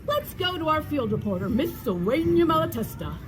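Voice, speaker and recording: A woman's voice: high voice, very animated, brisk, over music. A woman playing an upbeat, earnest male sports commentator in a comic stage play. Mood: eager. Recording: noisy live stage play recording.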